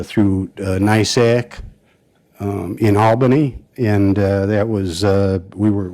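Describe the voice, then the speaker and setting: A man reading out a colleague's record at a county board meeting, pausing often with fillers. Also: fairly low pitch, darkish tone. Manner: halting, many "uh" and "um" fillers. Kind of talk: formal tribute speech at a meeting.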